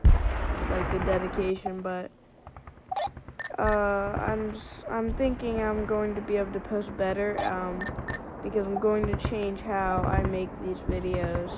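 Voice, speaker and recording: A woman giving a rambling gameplay commentary voice-over, slightly hoarse from a winter cold and full of fillers and restarts. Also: mid-range voice, level tone, a little slow, heavy background noise. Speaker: gameplay video creator.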